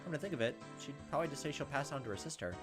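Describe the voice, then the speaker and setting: A man's voice: medium pitch, expressive, very fast, over music. A man hosting a gaming video, reading a visual novel aloud with casual, sometimes profane commentary. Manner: casual, profane asides, reacts to the text as he reads. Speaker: gaming video host, let's player.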